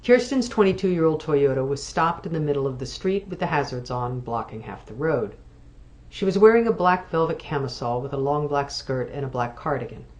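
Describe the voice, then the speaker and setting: A woman author reading literary fiction aloud, measured and expressive. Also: sits low. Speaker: author reading from own novel.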